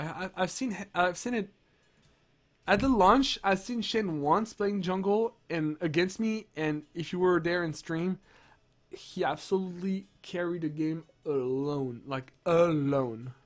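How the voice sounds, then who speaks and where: A young man with a sick, strained voice, rambling excitedly through game patch notes with lots of restarts. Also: on the high side. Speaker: gaming streamer. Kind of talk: casual patch-notes commentary.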